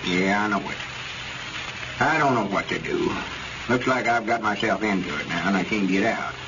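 Guy playing a fretful old country store boss, lowish folksy voice, 30s radio comedy, muffled noisy audio. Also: natural voice. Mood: worried. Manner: folksy rural dialect, drawn-out explaining. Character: worried, self-important old country store owner. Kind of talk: 1930s radio comedy acting.

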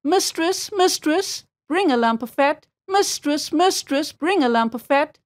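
A woman's voice: high voice, lively intonation, a little slow, pitched above the performer's own voice. Woman voicing a meek, fretful storybook blackbird. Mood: singsong calling. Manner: timid, compliant, brief answers.

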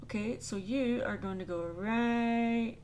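A woman's voice: a little high, slow, a little mellow, slight background noise. A young woman chatting casually and thinking aloud while playing a game. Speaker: let's play host. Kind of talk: casual gameplay commentary.

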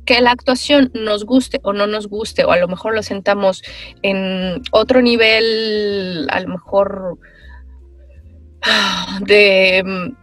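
A woman, a voice actress answering interview questions on a video call, thoughtful and animated, with many pauses and fillers. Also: mid-range voice, no echo, soft background music. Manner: animated, gestures while talking. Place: small room with foam panels.

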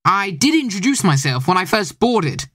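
A teenage boy voicing a vain, pompous gentleman detective with theatrical delivery in an amateur audio play. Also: high voice, sing-song, normal speed, no echo, clean studio sound, heavily acted. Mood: puzzled.

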